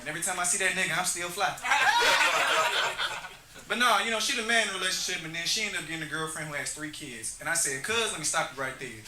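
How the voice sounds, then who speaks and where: A man's voice: a little high, quick pace. A man, doing live stand-up storytelling. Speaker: stand-up comedian. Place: comedy club stage, on a microphone. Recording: noisy, echoey live club recording.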